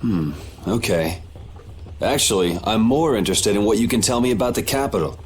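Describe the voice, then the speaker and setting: Actor as a wry young swordsman, lively pitch. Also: normal speed, slight background noise, natural voice. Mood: wry, probing. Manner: casual, sardonic. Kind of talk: English video game dub.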